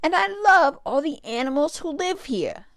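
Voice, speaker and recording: Woman voicing an excitable little boy shark, high-pitched. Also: normal speed, heavily acted. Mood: excited. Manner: excitable, exclaiming, earnest. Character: eager, friendly young male lemon shark.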